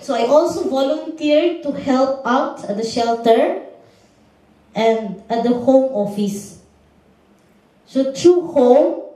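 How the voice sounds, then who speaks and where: Slow-speaking woman in an echoey hall. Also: a little high, mellow. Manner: slow and deliberate, simple non-native phrasing. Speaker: panelist, former domestic worker. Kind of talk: prepared personal testimony. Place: large lecture hall. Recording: echoey lecture hall, far from mic.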